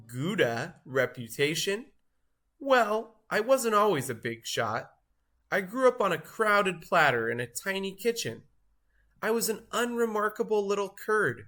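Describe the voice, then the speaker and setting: A man voicing a boastful, show-off cheese in a theatrical, grandiose storybook read-aloud with drawn-out emphasis. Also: sits high, sharp tone, full character voice. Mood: boastful, showy, then proud reminiscing.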